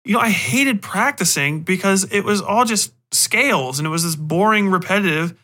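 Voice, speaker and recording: A man hosting a podcast in rambling, casual talk, animated and emphatic, swearing freely. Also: high voice, normal speed. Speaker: podcast host and fiction writer.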